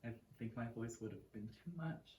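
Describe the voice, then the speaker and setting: A man's voice: mid-range voice, normal speed, darkish tone, slight background noise. A young man co-hosting a casual chat, joking with a dry delivery. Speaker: co-host. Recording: echoey room.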